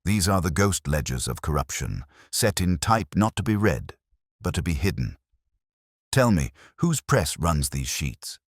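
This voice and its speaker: A man voicing a keen, commanding detective in an audiobook, speaking in formal, deliberate phrases. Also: low voice, very animated, no echo, clean studio sound, heavily acted. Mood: keen, accusing. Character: male master detective, keen, commanding and coolly analytical.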